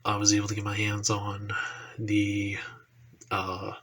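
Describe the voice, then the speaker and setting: A young man, stuffy from allergies, talks in a slow, loose ramble full of ums and cursing. His low voice is bright and edgy but barely rises or falls. A faint hum of room noise sits behind him. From Texas. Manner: relaxed rambling with frequent ums and profanity. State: congested from allergies. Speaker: action figure YouTuber. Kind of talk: casual solo podcast talk.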